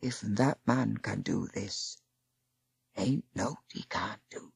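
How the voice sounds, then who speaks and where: A woman voicing a gruff old male cave-dwelling Aufwader elder. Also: a bass voice, slow pace, a little mellow, no echo, clean studio sound, voice pushed down, heavily acted. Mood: grave. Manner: gruff, broad dialect.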